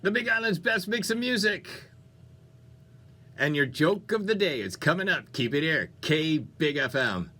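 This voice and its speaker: A man's voice: fairly high pitch, animated, a little slow, pushed and bright. A man hosting a music radio show with upbeat, playful, conversational DJ patter. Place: radio studio.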